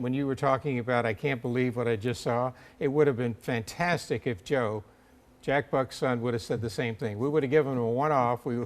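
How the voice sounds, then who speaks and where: A middle-aged or older man giving conversational live sports analysis on a panel. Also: medium pitch.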